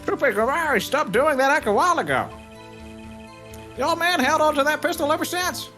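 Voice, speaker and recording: A woman's voice: high-pitched, animated, brisk, sharp tone, faint music behind. A woman voicing a blustery, folksy male police detective in a live fan dub of a video game. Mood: dutiful, matter-of-fact. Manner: folksy, emphatic, ends phrases with pal or sir.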